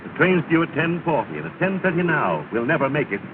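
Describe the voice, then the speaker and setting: An impatient, well-off husband in an early talkie, his lively voice rising and falling as he frets. He sits back from the microphone, and the thin, phone-like sound carries hiss. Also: a little high, fast, recorded from a distance. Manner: fretful and clipped. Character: fussy, impatient middle-aged well-to-do husband. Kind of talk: 1930s film acting. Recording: tinny, hissy 1930 film soundtrack, set back from the mic.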